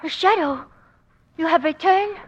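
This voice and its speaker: An actress playing a timid, devoted Chinese maid in a 1940s radio drama, speaking soft, formal, stilted broken English. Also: female voice, very high-pitched, a little slow, dull audio. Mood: fearful, earnest.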